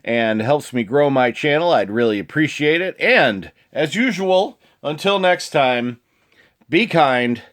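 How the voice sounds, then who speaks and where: A man with a sniffly, allergy-affected voice hosts casually, reading card text aloud with informal asides and some throat-clearing. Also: fairly high pitch, expressive, measured pace, fairly bright tone. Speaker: video host.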